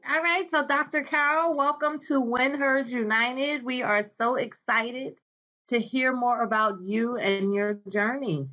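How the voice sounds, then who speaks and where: A woman's voice: a little high, fairly even intonation, a little slow, sharp tone, no echo, highs cut off. A woman hosting a podcast, giving scripted introductions and welcoming interview questions. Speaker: podcast host.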